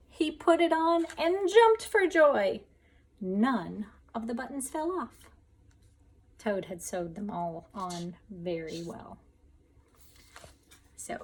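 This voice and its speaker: A woman's voice: mid-range voice, husky. A woman teacher reading a children's story aloud, expressively, speaking directly to her young listeners.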